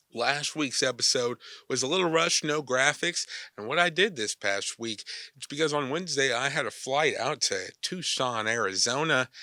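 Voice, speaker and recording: A young man hosting a casual sports podcast, chatty and energetic with loose slang, his voice a little stuffy from a cold. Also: mid-range voice, no room sound, studio quality. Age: young adult. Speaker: podcast host.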